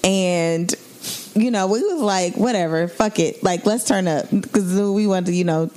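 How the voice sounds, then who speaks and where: A woman's voice: medium pitch, unhurried, mellow, poor audio. A woman co-hosting a podcast, telling stories in candid, slangy casual chat and laughing often. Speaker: podcast co-host.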